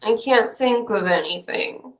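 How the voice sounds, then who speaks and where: A woman's voice: on the high side, a little slow, lightly acted. A woman playing an anxious, pleading child in a staged reading of a play. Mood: downcast. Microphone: video call. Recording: muffled livestream call audio.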